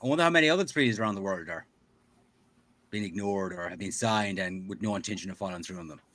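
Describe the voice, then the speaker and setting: A man with a lowish voice co-hosts a livestream chat. He is easygoing and quick to agree, and he drops casual curses as he talks through treaties and politics. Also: lively intonation, a bit bright. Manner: laid-back, agreeable, swears casually. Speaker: co-host.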